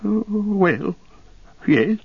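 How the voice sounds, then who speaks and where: A man's voice: sits high, animated, very slow, husky, no echo, lo-fi sound, voice pushed up, heavily acted. A man voicing an old, kindly but prickly and possessive hobbit in an audiobook, with an agitated, defensive manner. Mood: suspicious, defensive. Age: older. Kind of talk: audiobook character acting.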